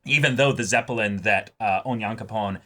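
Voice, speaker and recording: A man's voice: lowish voice, brisk, fairly bright tone. A man co-hosting a show, talking in casual, emphatic commentary with repeated words for stress. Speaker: co-host of anime reaction show.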